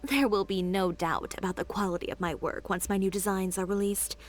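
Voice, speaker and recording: An actress playing a proud, composed fashion designer who runs her own boutique, giving crisp, self-assured orders. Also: mid-range voice, fairly even intonation, brisk, natural voice. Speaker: boutique owner. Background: game music.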